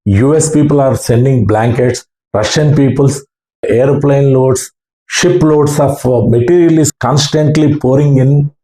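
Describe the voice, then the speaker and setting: A man with a South Indian accent recounting his experience as an amateur radio operator in halting, earnest short phrases. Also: mid-range voice, mellow. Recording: roomy, echoing room sound.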